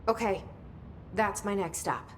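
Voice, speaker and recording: A woman's voice: mid-range voice, unhurried, a little hiss or hum, close to an everyday voice. An actress voicing a wary young woman newcomer in a game, asking short, direct questions.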